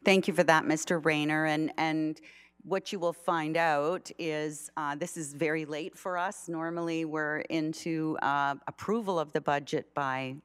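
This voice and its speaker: Low-voiced woman chairing a council meeting. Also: fairly even intonation, clean tone. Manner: halting, many restarts and fillers.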